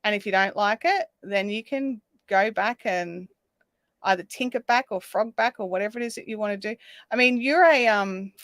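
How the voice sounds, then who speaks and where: A woman hosting a craft livestream, chatting casually and reading out viewer comments while she crochets, her voice slightly raspy. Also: mid-range voice, a bit bright, no echo. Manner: chatty, reads viewer comments aloud, counts stitches under her breath. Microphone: desk microphone.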